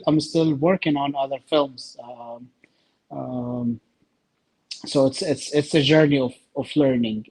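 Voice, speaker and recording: A man with an Egyptian accent answers interview questions in a mid-range voice. His talk is casual and halting, broken into short phrases with frequent pauses. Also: medium pitch, a little mellow, poor audio. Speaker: interview guest, filmmaker.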